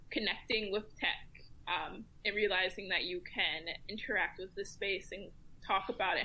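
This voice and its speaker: A woman speaking as a guest commentator in a casual round-table discussion, thoughtful and measured, with frequent pauses to think. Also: mid-range voice, background noise.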